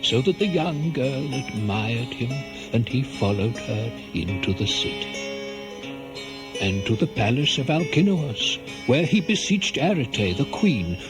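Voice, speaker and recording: A male narrator tells a tale in 1970s radio drama style over prominent music. His pace is slow and his mid-range voice moves widely with dramatic, deliberate phrasing. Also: mid-range voice. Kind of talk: scripted storytelling narration for a 1970s radio play.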